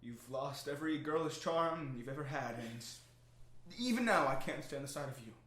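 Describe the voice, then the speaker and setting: An actor playing a young court jester in a radio drama, wry and self-mocking, his voice swinging from quiet to loud. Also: a little high, lively intonation, normal speed. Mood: tender. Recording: echoey room with faint noise.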